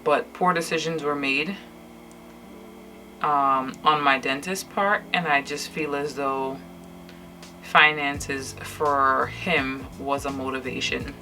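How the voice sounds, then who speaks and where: A woman's voice: rumbling low, fairly even intonation, takes it slowly, pushed and bright, soft background music, poor audio. A tired young woman from Trinidad and Tobago with a light Trinidadian accent, chatting candidly to her camera while she does her makeup at home, with lots of fillers and restarts. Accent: Trinidadian English, lightly. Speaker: vlogger. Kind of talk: casual chat to camera while doing makeup. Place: indoors at home, night.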